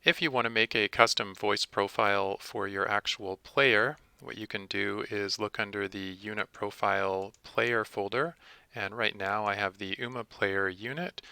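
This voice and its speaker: A man presenting a software tutorial, explaining each step methodically in a close-miked voice-over. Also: on the low side, steady tone, normal speed, creaky, clear, resonant tone, dry recording. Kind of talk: step-by-step screen tutorial narration.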